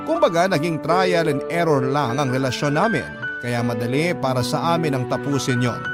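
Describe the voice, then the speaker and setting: A man narrating a letter in the first person as a scripted radio drama story. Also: medium pitch. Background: instrumental music.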